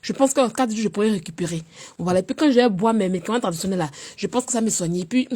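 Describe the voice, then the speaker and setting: Sick woman chatting live. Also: fast. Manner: animated, jumps between loud teasing and low murmurs. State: stuffy, has a cold. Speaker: live streamer. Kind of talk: casual live-stream chat.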